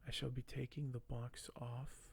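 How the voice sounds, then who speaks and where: A man doing soft-spoken ASMR in a breathy voice that often drops to a whisper, speaking in a slow, hushed, hesitant and apologetic way. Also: medium pitch, little pitch movement. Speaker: ASMR video host.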